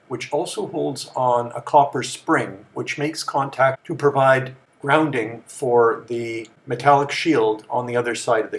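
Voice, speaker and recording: Calm man narrating a repair, gravelly. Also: medium pitch. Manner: calm, step-by-step instructive. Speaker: repair video host.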